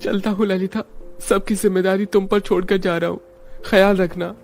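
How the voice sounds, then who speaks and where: A man acts out a poor young working husband in a cartoon story, dutiful and anxious. His voice is fairly high, with music underneath. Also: level tone, moderate pace, gravelly. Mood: sad farewell.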